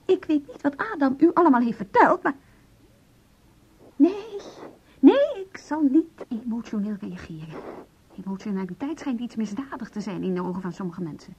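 A woman acting a bitter, agitated estranged wife in a Dutch radio play, with a husky voice and a Netherlands accent. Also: a little high, lively intonation, moderate pace, a little mellow, heavily acted. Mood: defensive, upset. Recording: slightly dull radio recording.

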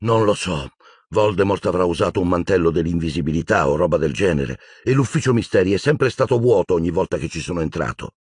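Audiobook role: intense teenage boy played by a man, insistent and argumentative, husky tone, lively swings in pitch. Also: fairly quick, dry recording, clean studio sound. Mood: impatient. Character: intense, hot-headed teenage boy, urgent and defiant.

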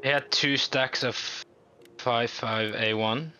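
A man's voice: medium pitch, steady tone, slow, pushed and bright, slight background noise, rough recording. A man with a Norwegian accent giving brief, matter-of-fact callouts in casual game voice chat. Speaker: gaming teammate.

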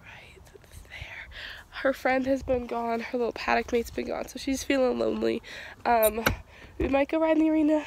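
A woman's voice: on the high side, fairly even intonation, moderate pace, gravelly, darkish tone, a little hiss or hum. A young woman chatting casually to her phone camera outdoors at a horse barn, stuffed up and still getting her voice back after losing it, rambling with lots of "like". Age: young adult. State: stuffed up, recovering from lost voice. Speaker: equestrian vlogger. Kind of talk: casual vlog chat. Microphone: phone camera held at arm's length.

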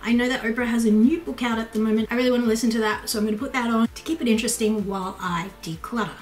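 A woman's voice: fairly high pitch, steady tone, very fast, darkish tone, faint music behind. An Australian woman in her thirties talking casually and practically as a home lifestyle vlogger in a small bedroom, recorded on a nearby camera. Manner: upbeat, chatty, practical. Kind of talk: casual chatty vlog talk. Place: small bedroom by a wardrobe, indoors.

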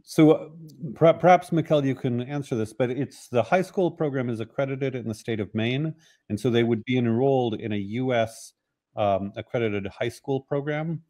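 An older man speaking on a video call, giving measured, informative answers about his school. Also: medium pitch, dark tone. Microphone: video call webcam.

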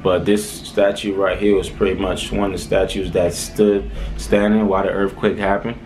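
A man's voice: sits low, level tone, moderate pace, a little mellow, poor audio. A young man from Haiti with a light Haitian accent, talking casually to camera in a small room, loose and rambling with lots of "um"s and "all right"s. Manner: laid-back, rambling, many "um"s and "all right"s. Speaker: vlogger. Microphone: camera close in front.

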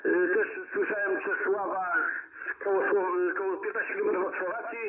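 A man's voice: sits high, little pitch movement, moderate pace, a crowd in the background. A man working as a CB radio operator, giving signal reports over the air. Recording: muffled CB radio, very noisy voices behind.

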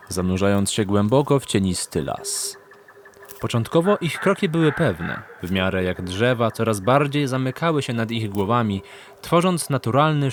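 A man's voice: mid-range voice, a little hiss or hum. A man reading scripted narration for a fantasy audiobook.

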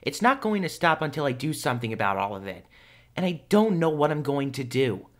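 A man's voice: mid-range voice, big pitch swings, fairly quick, fairly bright tone. A man voicing an anxious, persistent young hedgehog hero in a fan audio drama, earnest and pleading. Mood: weary, earnest.

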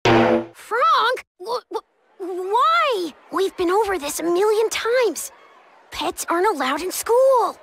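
A performer playing an excitable, grumbling young schoolboy gamer in a cartoon video game, with a whiny drawl. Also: extremely high pitch, slow pace, vocal fry, slight background noise, full character voice.

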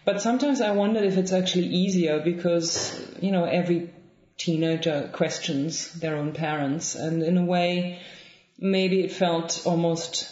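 A woman's voice: lowish voice, fairly even intonation, a little slow. German-accented woman, thoughtful, roomy sound. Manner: thoughtful, soft-spoken, many ums and restarts. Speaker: guest author. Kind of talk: reflective interview conversation. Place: home room with bookshelves, indoors. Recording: roomy, slightly dull lo-fi sound.

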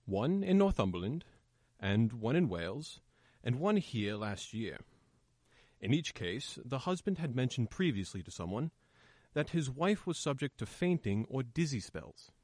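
A man playing a calm, considerate police inspector in an old-time radio play, measured and reassuring as he explains. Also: mid-range voice, dry recording. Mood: grave, explanatory.

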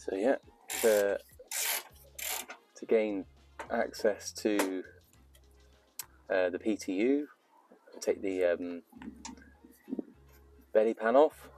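Guy under a car, slow and mellow, walking through the repair bit by bit. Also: fairly low pitch, soft background music. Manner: slow, hesitant, explaining step by step. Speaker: vlogger narrating car repair. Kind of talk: casual how-to commentary.

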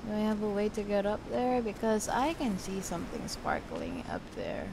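A woman's voice: mid-range voice, huge pitch swings, moderate pace, gravelly, background noise. A woman doing casual let's-play commentary, sweary and exclamatory, talking half to herself as she plays. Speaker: gaming video creator. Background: video game ambience sounds.

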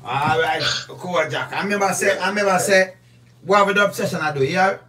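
Fast-talking Jamaican man, a bit off-mic. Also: sits high, sharp tone. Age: middle-aged. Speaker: interviewer, host. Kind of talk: casual phone interview in patois. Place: small foam-padded home studio. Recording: off-mic, slightly distant.

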